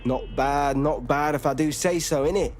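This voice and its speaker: A man's voice: on the high side, steady tone, moderate pace, close to an everyday voice. A man playing a wry, self-assured hacktivist loner in a game, with a slangy London accent. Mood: wry, pleased. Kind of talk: video game dialogue. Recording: loud music behind.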